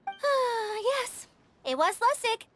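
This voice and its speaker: Very high, bright, gentle young caretaker. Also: female voice, very animated, slow pace, slight background noise, exaggerated voice. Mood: flustered. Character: gentle, self-blaming, dutiful young female caretaker. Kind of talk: anime video game voice acting. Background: game music.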